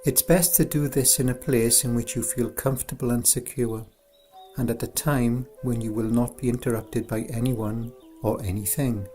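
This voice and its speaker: A man narrating a scripted guided meditation in a soothing, unhurried, gentle way, as an instructor. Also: mid-range voice, steady tone, faint music behind.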